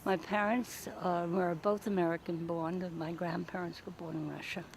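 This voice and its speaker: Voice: medium pitch, little pitch movement, normal speed, a little hiss or hum, poor audio. An older person from New York, answering interview questions plainly and matter-of-factly.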